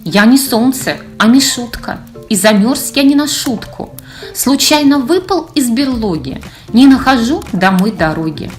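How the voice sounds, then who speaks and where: A woman's voice: fairly high pitch, lively intonation, a little slow, soft background music. A woman voicing a small, clumsy lost bear cub who speaks plaintively in rhyme for a children's storybook.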